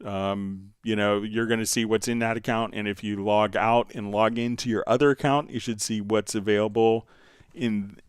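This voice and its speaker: A man hosting a livestream tutorial, answering viewer questions in a casual, halting, rambling way. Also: on the low side, fairly even intonation, smooth, no room sound, clean studio sound. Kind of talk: unscripted software tutorial, casual explaining.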